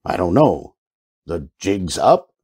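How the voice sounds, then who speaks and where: A man's voice: low voice, big pitch swings, slow, dark tone, full character voice. A man playing a wry, world-weary private eye, narrating dryly in an old-time radio noir style. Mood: businesslike. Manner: dry, sardonic. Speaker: lead actor and narrator.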